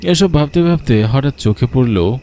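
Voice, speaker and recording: A man's voice: medium pitch, animated, normal speed, dark tone. A man telling a scripted first-person horror story as a dramatic storyteller.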